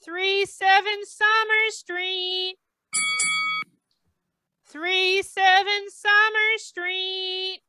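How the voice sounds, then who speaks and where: Lady doing droning hospital dispatcher, super slow bright voice, impersonal, radio play, music behind. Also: very high-pitched, little pitch movement, exaggerated voice. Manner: droning, drawn-out announcement. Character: impersonal female hospital dispatcher calling out addresses. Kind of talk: old-time radio drama acting.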